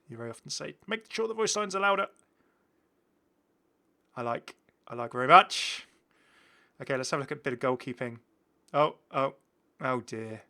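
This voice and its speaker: A man's voice: mid-range voice, pushed and bright. A man hosting a gaming channel, giving casual, chatty and enthusiastic commentary as he shows off game content. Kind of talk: casual gameplay showcase commentary.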